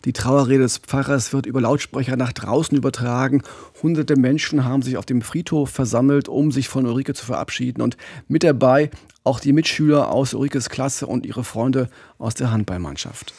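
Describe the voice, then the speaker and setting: A man's voice: medium pitch, normal speed, darkish tone, husky, dry recording. A man in his forties hosting a true-crime podcast, narrating conversationally into a studio microphone. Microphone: studio condenser mic with pop filter.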